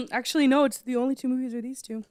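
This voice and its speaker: A young woman co-hosting a podcast in casual chat, her voice hoarse and lower from a cold, rambling with many fillers and restarts. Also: fairly quick, darkish tone, dry recording. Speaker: podcast co-host.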